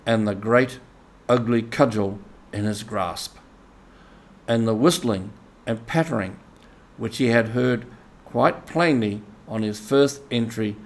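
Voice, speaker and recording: Casting: male audiobook reader, mid-pitched, unhurried and deliberate, narrating a storybook. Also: creaky.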